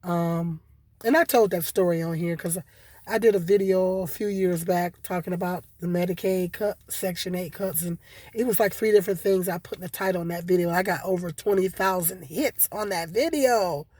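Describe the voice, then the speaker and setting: A hoarse woman with a cold, talking casually and rambling to her viewers with many repeats and fillers. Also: fairly low pitch, moderate pace, a little mellow, no room sound. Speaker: vlogger. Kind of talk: casual solo commentary.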